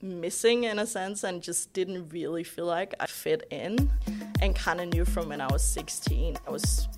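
A woman's voice: fairly low pitch, moderate pace, over music. A young woman from Denmark with a Danish accent, chatting casually as a podcast guest and laughing often. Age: young adult. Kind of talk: casual interview chat.

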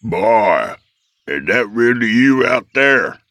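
A man voicing an elderly country father, gruff, folksy and nonchalant, in an audiobook story. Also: medium pitch, slow pace, dry recording, pitched above the performer's own voice, exaggerated voice. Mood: wary calling out. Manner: deadpan, folksy, indifferent.

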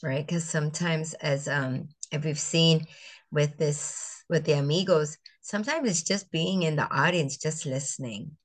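A middle-aged woman raised on St. Croix speaks in a fairly low voice. She tells her story reflectively, pausing with fillers and restarting her sentences as she goes, in an easy interview tone. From US Virgin Islands (St. Croix). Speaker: guest, educator and business founder. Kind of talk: conversational interview.

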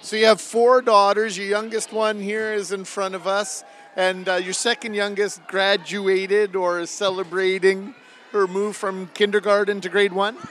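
A middle-aged man with a notably high voice conducts a casual field interview. He asks questions conversationally, with faint ambient noise around him. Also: level tone, measured pace, a bit bright. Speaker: radio interviewer. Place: on location at a school event.

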